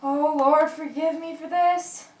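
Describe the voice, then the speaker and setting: Radio drama: excitable, superstitious, easily spooked sailor sidekick, woman performing, extremely high, echoey and off-mic. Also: slow, distant mic. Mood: uneasy. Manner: excitable, folksy, exclamatory. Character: jumpy, superstitious young-sounding sailor sidekick, easily terrified. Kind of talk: amateur radio drama acting. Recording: echoey room, away from mic.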